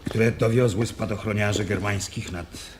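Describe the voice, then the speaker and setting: Actor as outspoken officer in noisy recording. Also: male voice, fairly low pitch, fairly even intonation, measured pace, lightly acted. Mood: musing, outspoken. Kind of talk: Polish radio drama acting.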